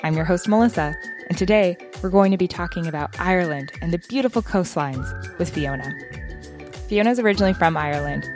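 A woman hosting an interview podcast, upbeat and encouraging, asking her guest questions. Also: on the low side. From Seattle. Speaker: podcast host.

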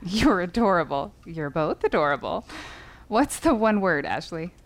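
Female lawyer coach, lively intonation, encouraging, instructive, composed, naturalistic podcast drama. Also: fairly low pitch, normal speed. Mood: amused. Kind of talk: naturalistic fiction podcast acting.

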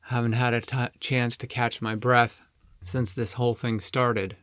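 A man voicing an earnest, rather glum and halting male tiger in a children's story. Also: medium pitch, little pitch movement, fairly bright tone, no room sound, clean studio sound, natural voice. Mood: weary, puzzled. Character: downcast, earnest male tiger, cat jokester and guardian.

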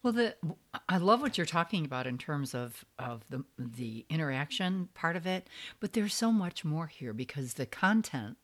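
A woman's voice: low-pitched, sing-song, moderate pace, few pauses. A woman co-hosting a podcast, chatting casually in an effusive, agreeable way. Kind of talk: casual conversational chat.

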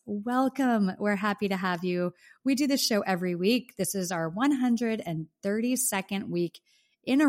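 A woman co-hosting a casual podcast while sick with the flu, chatty and grateful. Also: lowish voice. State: sick with the flu, may cough. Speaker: podcast co-host. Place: home in Los Angeles.

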